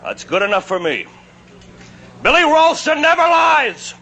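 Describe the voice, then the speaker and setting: High, shouting man in a mob. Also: wildly swooping pitch, measured pace, vocal fry, full character voice. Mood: excited. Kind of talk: mid-century American television western acting. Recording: old soundtrack with crowd noise.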